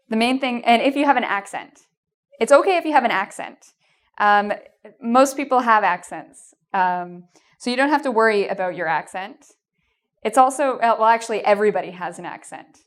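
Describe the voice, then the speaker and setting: A woman in her thirties with a Canadian accent, teaching an exam-prep English lesson in a clear, patient, encouraging way in front of a whiteboard. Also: mid-range voice. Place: indoor studio in front of a whiteboard.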